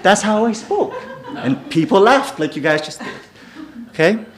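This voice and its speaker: A man's voice: high-pitched, big pitch swings, unhurried. A man from the Philippines whose first language is Filipino, telling personal stories to a live audience and often checking in with "okay?". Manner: keeps checking in with the crowd with "okay?". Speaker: speaker. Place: venue before a live audience.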